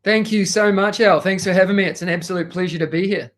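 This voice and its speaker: A man's voice: sits high, fast talker, a bit bright. A man with a New Zealand accent, talking enthusiastically as a podcast guest, with frequent fillers. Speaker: guest, education consultant. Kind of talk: casual podcast interview.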